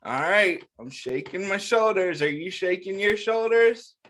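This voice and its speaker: A man with a fairly high voice hosts storytime for little kids at a very unhurried pace. He reads in simple phrases and speaks straight to the children, asking questions and answering them in an encouraging way. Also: lively intonation, fairly bright tone. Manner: talks directly to young listeners, asking questions and answering them. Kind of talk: interactive picture-book read-aloud for young children.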